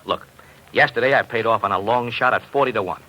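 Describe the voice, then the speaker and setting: A man's voice: medium pitch, fast talker, sharp tone, dull audio. An actor playing a worried, slangy betting agent, a big man, in a 1950s radio drama. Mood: worried, frustrated. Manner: slangy, hard-boiled talk. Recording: noisy old radio recording.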